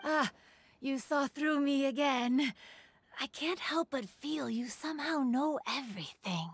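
A woman voicing a gentle, melancholy female yokai in human form for a video game, soft-spoken, wistful and measured. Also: sits high. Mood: grateful. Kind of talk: scripted video game voice acting.

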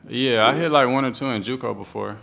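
A young man, a college basketball player, answering press questions after a game in a quick, laid-back way. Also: medium pitch, creaky, fairly bright tone. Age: young adult. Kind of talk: postgame press conference answers. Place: press room in front of a sponsor backdrop. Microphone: table microphone.

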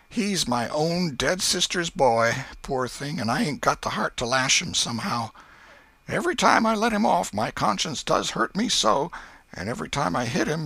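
A man voicing an exasperated but soft-hearted old country aunt in an audiobook, with a rural Southern American accent and folksy, fretful scolding. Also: a little high, creaky, no echo, pitched above the performer's own voice, exaggerated voice. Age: older. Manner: folksy scolding and fretting, dropped g's. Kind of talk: audiobook character voice.

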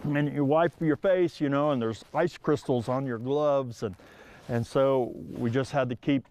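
A man's voice: mid-range voice, unhurried, darkish tone. A middle-aged man outdoors answering interview questions in a chatty way, repeating words as he goes. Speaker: interviewee, sports fan. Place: outdoors by a building.

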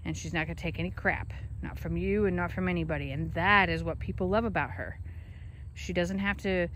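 A woman's voice: mid-range voice, a bit bright. A woman filming on a phone in an open pasture, chatting to her farm animals and scolding them fondly in a casual way. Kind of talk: casual off-camera narration. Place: outdoor pasture.

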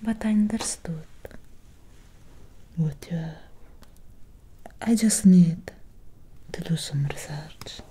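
A young woman playing a gentle, melancholy Victorian vampire doctor in a breathy, soothing ASMR whisper, close to the microphone. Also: on the low side, heavily acted. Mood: gentle, reassuring. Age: young adult. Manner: slow, soothing, hushed. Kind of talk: soft-spoken ASMR medical roleplay.

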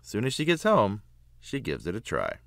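A man reading a children's picture book aloud with expressive, emphatic phrasing. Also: lowish voice, normal speed, gravelly, no echo. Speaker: children's book reader, narrator. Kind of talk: read-aloud storybook narration.

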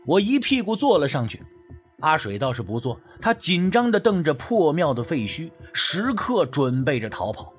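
A man narrating a first-person story as an audiobook, in an expressive, theatrical way. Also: fairly high pitch, normal speed. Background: background music.

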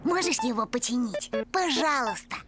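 A woman's voice: high voice, lively intonation, moderate pace. A woman voicing a sweet, eager little puppy child in a cartoon, with music underneath. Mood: pleading. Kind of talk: animated cartoon acting.

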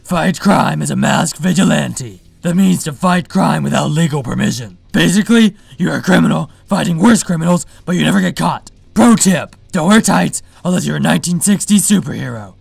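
A man playing a brooding, boastful masked superhero in a parody vlog, with a raspy growl and a deadpan, self-important delivery. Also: on the high side, moderate pace, few pauses, no room sound, soft background music, full character voice. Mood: boastful, instructive. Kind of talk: parody vlog monologue to camera.

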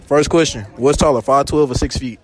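A young man outdoors on a campus, reading quiz questions to students in an upbeat, brisk way. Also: mid-range voice. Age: young adult. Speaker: street quiz interviewer. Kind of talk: casual street quiz.

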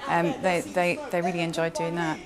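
A woman's voice: fairly low pitch, level tone, normal speed, over loud music. A British woman, a primary school teacher, giving conversational interview answers.

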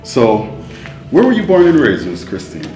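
A man's voice: medium pitch, wildly swooping pitch, normal speed, a little mellow, soft background music. A man from the Bronx hosting an interview show, with upbeat patter and easy laughs. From the Bronx, New York. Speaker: show host. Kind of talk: casual interview chat. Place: hotel room, indoors. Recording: roomy hotel room echo.

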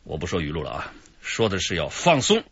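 An actor playing a calm, wry senior police officer in a radio drama, with a deep, husky voice. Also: male voice, a little fast, lo-fi sound, exaggerated voice. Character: dry-humored, calm older male police officer. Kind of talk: broadcast radio drama acting.

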